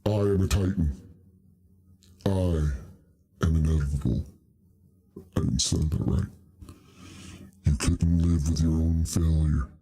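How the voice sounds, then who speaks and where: A man's voice: rumbling low, fairly even intonation, slow, long pauses, mellow, breathy edge, full character voice. A man using a voice changer to play a huge titan villain, making grand declarations. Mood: grandiose.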